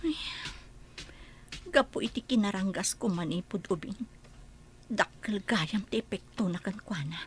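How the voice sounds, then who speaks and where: A woman playing a troubled, worried parent with a husky voice in a Filipino radio drama. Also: medium pitch, unhurried, pauses often, a little hiss or hum, close to an everyday voice. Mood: worried, brooding.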